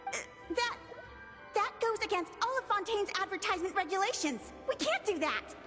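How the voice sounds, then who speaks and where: A woman voicing a tiny, excitable female companion in a video game, indignant and outspoken. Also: very high voice, moderate pace, big-room sound, faint music behind.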